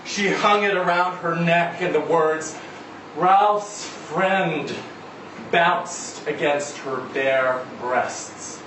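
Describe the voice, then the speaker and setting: Slow-paced man reading aloud, very echoey room. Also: fairly high pitch, fairly even intonation. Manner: measured, deliberate phrasing with pauses. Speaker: story reader at a live literary event. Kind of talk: dramatic live reading of a short story. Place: indoor event venue before a live audience. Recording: echoey room, distant mic, noisy background.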